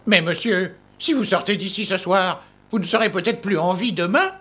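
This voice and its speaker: An actor playing an anxious, protesting doctor in a dubbed cartoon, husky, concerned and insistent. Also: male voice, high voice, normal speed, pushed and bright, full character voice. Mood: worried. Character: anxious, protesting doctor attending a sick patient. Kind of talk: dubbed animated drama acting.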